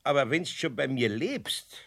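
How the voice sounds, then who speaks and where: A man's voice: mid-range voice, lively intonation, measured pace, fairly bright tone. A man playing a kindly, long-suffering older craftsman with a Bavarian accent, grumbling patiently and mildly scolding in a children's radio play. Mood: coaxing, grumbling. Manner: homely, repetitive, mildly scolding. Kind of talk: 1970s German children's radio play acting. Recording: clearly noisy old radio-play recording.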